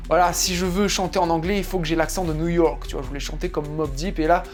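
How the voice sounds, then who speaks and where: A man's voice: fairly high pitch, steady tone, quick pace. A young man from France telling the story of his trip in a casual, slangy voice-over full of asides and fillers. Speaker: vlogger narrating his trip. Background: faint music.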